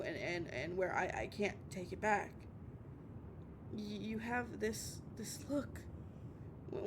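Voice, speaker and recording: A woman playing a drunk, heartbroken, obsessive young lover in an intimate audio roleplay, tearful and halting, stammering and repeating herself. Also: a little high, creaky, background noise. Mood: weary, resolute.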